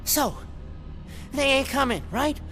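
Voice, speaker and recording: Loud music sits behind this voice. It is a jeering video game henchman, slangy, impatient and foul-mouthed. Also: high-pitched, animated, slow pace. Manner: slangy, taunting.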